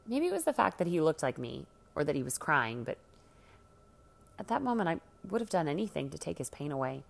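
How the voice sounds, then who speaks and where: Low-voiced woman reading a story expressively. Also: sing-song, a little fast, gravelly. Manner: dramatic, acts out the dialogue. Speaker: story reader. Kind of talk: expressive scripted reading of a first-person teen story.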